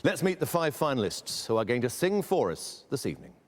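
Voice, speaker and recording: A man presenting a live concert broadcast from a concert hall, speaking in a measured, formal way. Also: medium pitch, big pitch swings, background noise.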